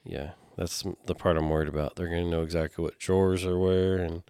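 A man hosts a podcast in relaxed, casual chat, often hesitant and trailing off with fillers. His voice sits very low, and the sound is dry and close to the mic. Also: fairly quick, smooth, no room sound. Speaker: podcast host, mechanic.

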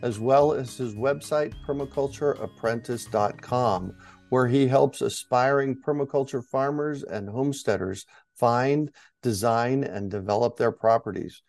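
A man's voice: medium pitch, a little slow, vocal fry, a little mellow, faint music behind. Male podcast host in a roomy space. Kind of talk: scripted podcast introduction and interview.

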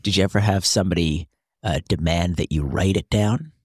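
A man hosting a casual studio interview, speaking in a somewhat halting way. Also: deep voice, a little mellow, breathy edge, dry recording. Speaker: host, interviewer. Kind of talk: casual chat.

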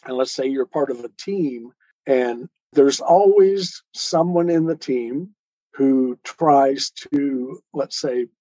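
A man's voice: medium pitch, takes it slowly, warm tone, no echo, muffled recording. A man speaking as an interview guest, explaining his views as an author in a reflective, conversational way. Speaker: guest author, storyteller and podcaster.

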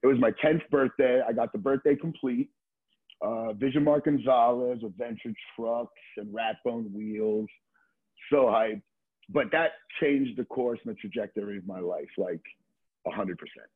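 Middle-aged man, husky voice, casual storyteller. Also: medium pitch, normal speed, phone-quality sound. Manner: informal, slangy, swearing, frequent "like" and "you know". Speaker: podcast guest, skateboarder.

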